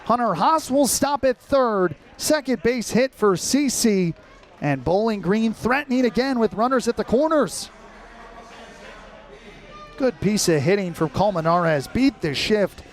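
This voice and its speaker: A man's voice: high-pitched, lively intonation, a little slow. A young American man calling baseball live as a radio play-by-play announcer, in clipped, descriptive phrases. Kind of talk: live sports commentary.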